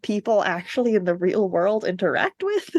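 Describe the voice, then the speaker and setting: A woman chatting casually as a podcast co-host, telling things in an animated, digressive way. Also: mid-range voice, moderate pace, no echo. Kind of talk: casual book discussion chat.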